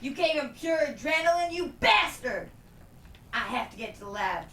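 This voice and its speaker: A woman's voice: high-pitched, lively intonation, moderate pace, sharp tone, far from the mic. A woman playing a hard-edged, commanding female operative in a screenplay table read, barking orders sharply. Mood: furious. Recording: echoey room.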